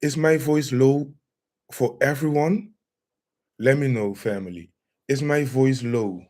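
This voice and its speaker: Slow-talking man hosting a livestream. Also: medium pitch, darkish tone. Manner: slow, greets viewers by name. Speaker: livestream host. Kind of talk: live-stream lecture chat.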